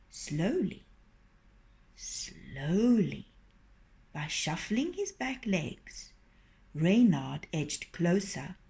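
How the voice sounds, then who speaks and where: A woman reading a children's story aloud in an expressive, lilting way. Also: mid-range voice.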